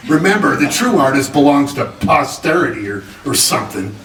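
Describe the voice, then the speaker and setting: An actor playing a mocking, crusty older uncle who runs the household in a radio drama, gravelly and blustery. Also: male voice, mid-range voice, very animated, brisk, natural voice. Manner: sarcastic, blustery. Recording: roomy, distant, clear background noise.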